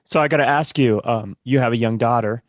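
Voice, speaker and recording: A man's voice: medium pitch, brisk, dry recording, clean studio sound. A man co-hosting a podcast interview, asking the guest curious, leading questions. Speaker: podcast co-host.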